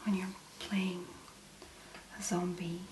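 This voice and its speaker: A woman speaking very softly and breathily in a gentle, unhurried ASMR manner as she talks through a demonstration. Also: fairly low pitch, level tone, echoey room, background noise. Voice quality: whispery, breathy. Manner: gentle, unhurried, murmuring to the listener. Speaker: ASMR video host. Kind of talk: soft-spoken ASMR make-up demonstration.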